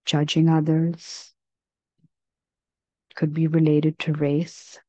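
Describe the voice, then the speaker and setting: A woman with a low, mellow voice guides a meditation. Her delivery is gentle and measured, very slow, with long pauses between phrases. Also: monotone, no echo, muffled recording.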